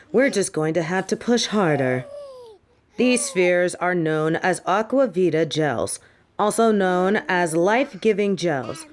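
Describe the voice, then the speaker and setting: A woman playing a stern, contemptuous older headmistress of a magic school in a fantasy audio roleplay, speaking in a haughty, formal, measured way. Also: lowish voice.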